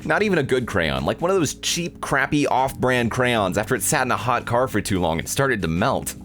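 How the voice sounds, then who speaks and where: A man's voice: mid-range voice, very animated, moderate pace, fairly bright tone, soft background music, voice pushed up. A man voicing an angsty, self-pitying teenage schoolboy, the overwrought hero of a visual novel. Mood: appalled, rude. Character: angsty, melodramatic teenage anime schoolboy protagonist. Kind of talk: visual novel read-aloud.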